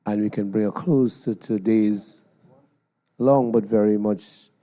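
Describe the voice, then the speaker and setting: Male competition MC, Trinidadian accent. Dark, relaxed tone, slow formal announcing. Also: lowish voice. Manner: measured, formal. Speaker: competition master of ceremonies. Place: stage at a live competition.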